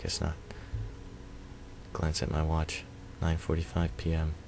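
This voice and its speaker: A man reading visual novel narration aloud in a subdued, measured way with pauses. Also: very deep voice, completely flat delivery, vocal fry, noisy background.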